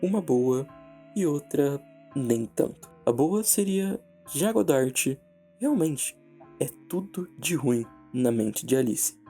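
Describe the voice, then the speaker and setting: Brazilian guy doing dramatic horror video narration, dark mellow voice w lots of fry, music behind. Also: medium pitch, animated, slow pace. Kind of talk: dramatic scripted narration and analysis of horror stories. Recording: background music under the voice.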